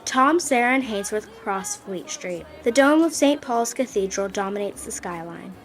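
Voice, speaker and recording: A woman's voice: mid-range voice, expressive, moderate pace, soft background music. Dramatic female radio play narrator.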